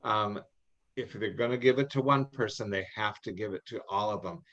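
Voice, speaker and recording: A middle-aged man giving informal, folksy advice in an online meeting, halting and repeating himself as he explains. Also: fairly low pitch, fairly bright tone. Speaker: experienced contest judge and adviser. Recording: muffled, band-limited online meeting audio.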